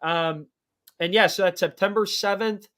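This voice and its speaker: A man's voice: high-pitched, clean tone. A man hosting a casual livestream, hyped and rambling through giveaway and show announcements. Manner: hyped, shouty openings, fast rambling announcements. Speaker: livestream host.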